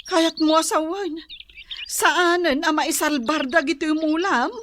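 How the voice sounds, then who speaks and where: A woman with an Ilocano accent playing a nervous, evasive wife and mother deep in debt, flustered and stammering in a comedy radio drama. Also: fairly even intonation, unhurried, a bit bright, a little hiss or hum. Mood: uneasy, questioning.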